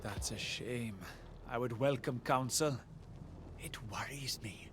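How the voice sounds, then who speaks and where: A man playing an earnest, dutiful military commander in a video game, measured and formal, with concern in his delivery. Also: mid-range voice, background noise, lightly acted. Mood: worried. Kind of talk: video game cutscene acting.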